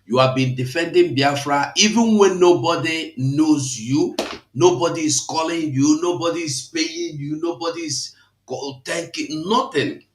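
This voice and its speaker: Man preaching in an echoey room. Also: on the high side. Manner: exhorting, quotes scripture, repetitive cadence. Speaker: online broadcast host. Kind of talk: preachy live broadcast talk.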